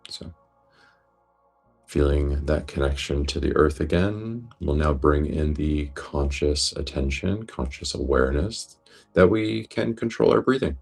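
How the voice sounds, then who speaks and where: A man guiding a breathwork meditation in a gentle, unhurried, reassuring manner. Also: rumbling low, vocal fry, husky, faint music behind. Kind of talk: guided meditation instruction.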